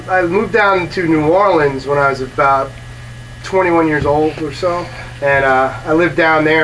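A man's voice: a little high, a bit bright. Laid-back Brooklyn-raised musician man. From Brooklyn, New York. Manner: easygoing, laughs often, rambling anecdotes. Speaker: guest musician. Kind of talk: casual chat. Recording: distant mic with faint noise.

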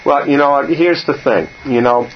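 An older man with a low voice, hosting a casual radio chat and telling stories with lively jumps in pitch. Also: normal speed, highs cut off, slight background noise. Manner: storytelling, animated, jumps up in pitch.

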